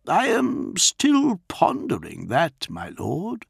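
A scholarly male engineer speaks formally, respectful and careful, his voice lifted well above the teller's. A man acts him out in a dry, close reading with a gravelly edge and a slow pace. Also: fairly high pitch, wildly swooping pitch, no echo, pitched above the performer's own voice, heavily acted. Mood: earnest.

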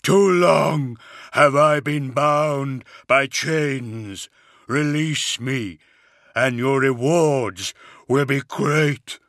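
Vast ancient leviathan demon: thunderous, imperious, very slow, pitched well above natural speech, forceful. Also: male voice, no room sound, studio quality. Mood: imperious bargaining. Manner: slow, drawn-out commands.

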